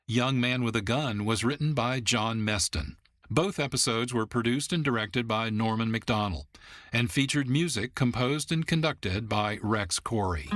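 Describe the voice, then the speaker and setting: A man giving measured, formal scripted narration as the announcer of a radio program. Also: medium pitch. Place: dry studio. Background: soft music.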